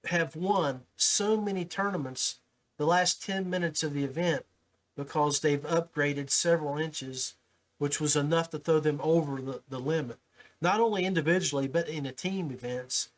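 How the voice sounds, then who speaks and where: A man with an American accent hosts a casual podcast. His voice sits a little high for a man. He speaks in a folksy, informal way, like someone chatting with buddies. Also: moderate pace, low-quality recording. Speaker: podcast host. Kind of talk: casual podcast talk and interview.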